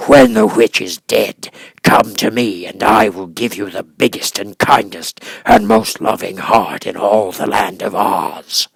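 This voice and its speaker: A man voicing a huge, dreadful storybook beast with a gruff roar and a slow, imperious delivery. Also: fairly low pitch, sing-song, dry recording, exaggerated voice, low-quality recording. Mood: gruff, commanding. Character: huge dreadful roaring beast, a wizard in monster shape.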